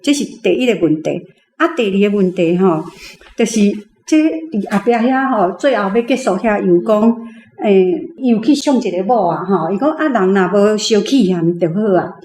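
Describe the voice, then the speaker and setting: Mellow Taiwanese woman, echoey room. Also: mid-range voice, lively intonation, normal speed. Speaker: book club member asking questions. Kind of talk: casual discussion.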